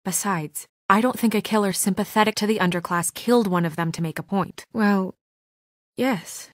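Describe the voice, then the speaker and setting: A woman playing a composed but anxious, dutiful young office administrator in a naturalistic video game drama, speaking in a measured, guarded, earnest way. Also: mid-range voice, no room sound, studio quality, close to an everyday voice. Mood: hesitant, conceding.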